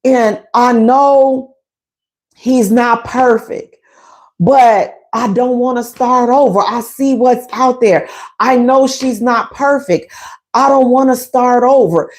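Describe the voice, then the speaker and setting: A woman's voice: on the high side, slow pace, darkish tone. A woman, hosting a casual relationship advice talk, emphatic and conversational, repeating her points.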